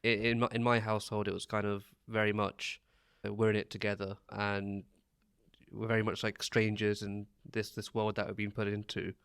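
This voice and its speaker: A man's voice: on the low side, level tone, moderate pace, a bit bright, clear, resonant tone, dry recording, clean studio sound. A man hosting an interview podcast, asking questions in a hesitant way with many restarts. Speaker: podcast host. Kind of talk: interview conversation.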